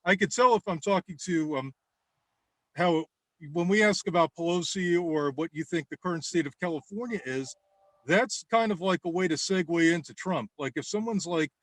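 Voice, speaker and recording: A man's slightly high voice comes across close and dry, with no room sound at all. He talks loosely and at length in casual podcast chat, restarting sentences and leaning on fillers. Now and then he slips into quick impressions of the people he has dealt with. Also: a bit bright, no room sound, poor audio. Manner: rambling, with many restarts and fillers. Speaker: guest, political campaign caller.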